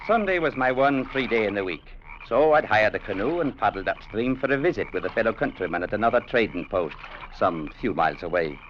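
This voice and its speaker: An actor playing a firm, plainspoken young clerk who also narrates, in 1940s radio drama style on an old recording. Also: male voice, on the low side, expressive, brisk, lo-fi sound, a little hiss or hum, natural voice. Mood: narrating. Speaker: narrator and lead.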